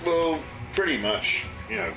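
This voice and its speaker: A man's voice: a little high, expressive, unhurried, loud music behind the voice. A middle-aged man, a race organiser, chatting casually and laughing easily in an interview. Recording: echoey room, far mic, loud ambient noise.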